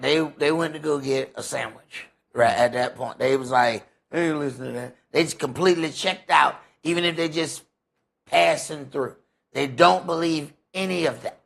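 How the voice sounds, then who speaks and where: An American man leading a Bible study, preaching off the cuff in an emphatic way with repeated phrases and rhetorical questions, his voice rough and raspy. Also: fairly high pitch, a bit bright. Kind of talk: sermon, extemporaneous teaching.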